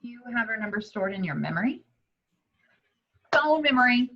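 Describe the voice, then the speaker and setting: Inquisitive, pushy female, read by a woman at a table read: bright, raspy, exclamatory, lo-fi sound. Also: a little high, big pitch swings, normal speed, exaggerated voice. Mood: probing. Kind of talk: amateur at-home screenplay table read.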